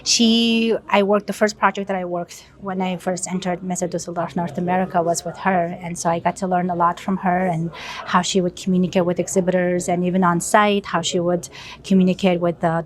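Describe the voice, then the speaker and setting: A woman in her thirties, a guest on a work podcast, chatting in a friendly, casual way full of "like" and "you know". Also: fairly low pitch. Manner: friendly, with frequent "like". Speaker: podcast guest, marketing staff. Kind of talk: casual interview chat.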